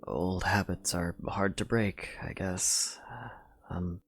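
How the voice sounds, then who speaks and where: A man plays a shy young hero of few words in an audio drama, low and creaky. He speaks slowly and apologetically, halting as he goes, with music clearly behind him. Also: steady tone, exaggerated voice. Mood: sheepish, sorry. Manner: hesitant, halting.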